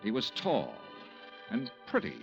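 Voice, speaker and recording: An actor playing a hard-boiled American insurance investigator in a 1950s radio detective drama, clipped and matter-of-fact. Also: male voice, lowish voice, wildly swooping pitch, measured pace, creaky, music in the background, full character voice. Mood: dry, descriptive. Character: hard-boiled, brisk male insurance investigator narrating his case. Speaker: lead actor and narrator. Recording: vintage 1950s radio broadcast.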